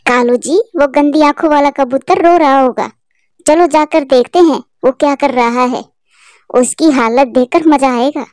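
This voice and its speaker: A woman's voice: unhurried, darkish tone, no room sound, studio quality. A woman voicing a bossy, greedy female crow in a cartoon. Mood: scheming. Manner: shrill, bossy.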